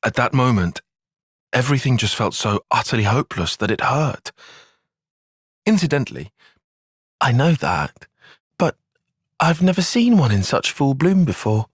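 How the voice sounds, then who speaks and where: Young tactician, fantasy video game, analytical, articulate, husky edge, lively intonation, close dry sound. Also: male voice, medium pitch, moderate pace, long pauses, no echo. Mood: serious, analytical. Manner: precise, formal, articulate phrasing. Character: earnest, analytical young male soldier and strategist in a fantasy game. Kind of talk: video game cutscene acting.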